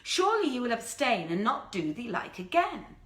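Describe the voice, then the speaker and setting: Woman acting elderly nurse, deferential, pleading, archaic storybook speech, bright tone, echoing room. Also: a little high, very animated, moderate pace. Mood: coaxing, deferential. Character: deferential, coaxing old nurse and go-between woman. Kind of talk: dramatic storybook reading.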